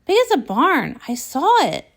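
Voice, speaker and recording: A woman's voice: high voice, huge pitch swings, unhurried, full character voice. A woman voicing a folksy, plain-spoken country villager pony in a storybook, a little wary. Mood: plain, earnest.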